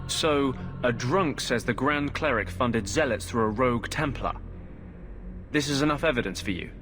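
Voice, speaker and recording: A man's voice: medium pitch, expressive, normal speed, over music, lightly acted. An actor playing a sceptical, sardonic male companion in a video game, dry and grumbling. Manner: dry, sarcastic. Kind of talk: video game cutscene acting.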